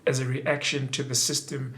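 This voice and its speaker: A man, an academic, answers interview questions in a lecturing tone. His voice is mid-pitched, and he often stops to hesitate. He builds his arguments slowly. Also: flat delivery, a bit bright, slight background noise. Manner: lecturing, with frequent "um" pauses. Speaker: academic and PhD researcher. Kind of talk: documentary interview.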